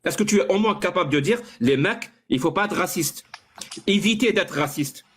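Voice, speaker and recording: A man's voice: high-pitched, lively intonation, normal speed, a little hiss or hum. A man arguing in a heated online debate, insistent and pressing, often stumbling over and repeating words as he pushes his point. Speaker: debate participant.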